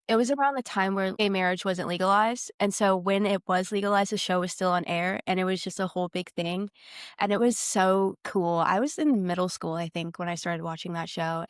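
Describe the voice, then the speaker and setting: A woman's voice: lowish voice, dry recording, clean studio sound. A young American woman chatting casually as a podcast co-host. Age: young adult.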